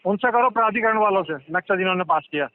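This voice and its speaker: A man's voice: high-pitched, fast talker. A man speaking as an official on a phone call, curt, informal, brusque and defensive. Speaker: chief fire officer taking a phone call. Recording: tinny phone line with faint noise.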